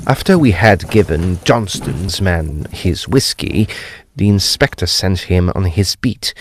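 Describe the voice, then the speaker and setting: A man playing a courteous, precise gentleman ghost hunter, telling his gothic tale in a formal, deliberate, expressive way. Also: deep voice, dry recording, clean studio sound, heavily acted. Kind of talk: dramatised gothic storytelling.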